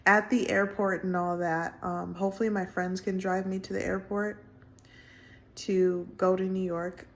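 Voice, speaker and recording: A woman in her thirties talking in a casual personal vlog from home, soft-spoken and rambling with many fillers. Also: medium pitch, level tone, a little slow. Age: 30s. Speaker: vlogger. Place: indoors at home, window closed.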